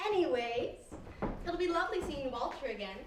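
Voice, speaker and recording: A woman's voice: high-pitched, animated, a little fast. An actress playing a prim, fussy mother and placating wife in a chatty, genteel stage manner. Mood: fussy, cheerful. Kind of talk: stage acting in a one-act play. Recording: echoey, noisy stage recording, distant mic.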